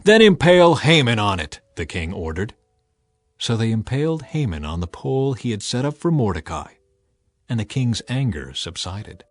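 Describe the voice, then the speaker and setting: A man's voice: on the low side, very animated, normal speed, darkish tone. A man narrating scripture as an audio drama, with dramatic, emphatic delivery.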